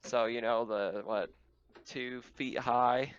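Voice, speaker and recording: A man speaking as game master, narrating a tabletop roleplaying game in a halting, conversational, descriptive way. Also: mid-range voice, fairly even intonation, clear, resonant tone, lo-fi sound.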